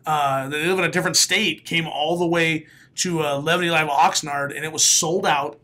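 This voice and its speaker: Comedian guest, male, late 30s, raspy, bright forceful tone, animated talker, studio mic. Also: fairly high pitch, normal speed. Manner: animated storyteller, laughs often, bursts into loud announcer calls. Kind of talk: casual podcast interview. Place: small studio room. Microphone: studio mic, headphones.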